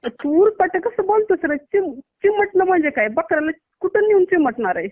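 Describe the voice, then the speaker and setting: High-voiced Maharashtrian woman on tinny phone line. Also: expressive, moderate pace, no echo. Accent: Maharashtrian Marathi. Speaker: doctor. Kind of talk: casual phone conversation. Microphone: phone call.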